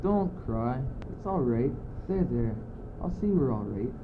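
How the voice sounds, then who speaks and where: A man's voice: medium pitch, big pitch swings, unhurried. An impatient, philosophizing courtier, acted by a teenage boy in a student radio play. The delivery is declamatory and exasperated. The recording is very tinny and plainly noisy. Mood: reassuring, insistent. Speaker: student actor. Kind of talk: amateur radio play acting. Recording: noisy phone-like old school recording.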